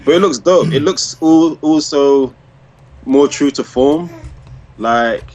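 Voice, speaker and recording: A man's voice: on the high side, takes it slowly, darkish tone, poor audio. A man co-hosting a podcast in casual, slangy chat with some swearing. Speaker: podcast co-host. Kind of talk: casual chat about comic book movies.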